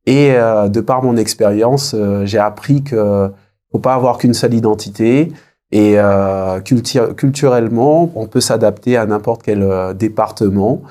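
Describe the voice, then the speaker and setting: A man in his thirties speaks in a low, very mellow voice. He is an interview guest from French Guiana. He talks reflectively and conversationally, often pausing to find his words. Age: 30s.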